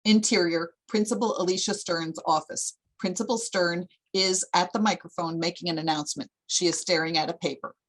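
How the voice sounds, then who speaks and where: A woman's voice: fairly low pitch, steady tone, brisk, few pauses, fairly bright tone, low-quality recording. A woman narrating a screenplay at a table read, reading out scene headings and stage directions.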